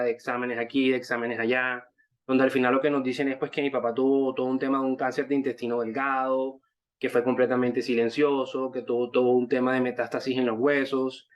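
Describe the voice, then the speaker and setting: A man of thirty-five with a Barranquilla accent from Colombia's Caribbean coast, giving a wordy personal testimonial to an online group, circling back over his phrases. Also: medium pitch. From Colombia, Caribbean coast (Barranquilla, born in Santa Marta). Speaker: network marketing member giving a testimonial. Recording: dull, muffled online-call audio.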